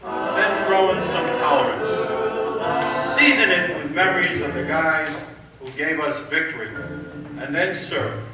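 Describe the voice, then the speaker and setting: 1940s radio crooner-host, played by a man: male, lightish pitch, folksy showman, heard in a cavernous hall over loud music. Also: a little slow, lightly acted. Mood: breezy, genial. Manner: folksy, jokey showman patter. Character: breezy 1940s male radio crooner hosting his show. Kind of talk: 1940s radio show acting. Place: amateur stage show in a large echoey room. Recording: very echoey hall from far off, music.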